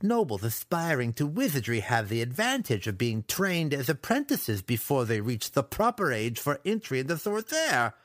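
Fantasy audiobook villain played by a man: young dark elf apprentice, mocking and resentful, pitched up, very animated. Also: moderate pace, few pauses, dry recording, clean studio sound. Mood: bitter ranting. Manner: sneering, mocking.

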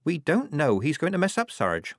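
Level-headed young female recruit, male narrator acting, practical, lifted pitch, dry recording. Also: huge pitch swings, normal speed, dry recording. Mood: practical, cautious. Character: sensible young woman soldier, disguised as lad.

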